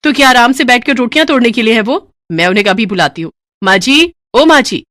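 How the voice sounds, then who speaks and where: A woman's voice: fairly high pitch, lively intonation, a little fast, fairly bright tone, no room sound, clean studio sound, voice pushed up. A woman voicing a bossy, greedy young daughter-in-law who scolds and orders people around in a storybook drama. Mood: haughty, demanding. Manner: haughty, scolding, commanding.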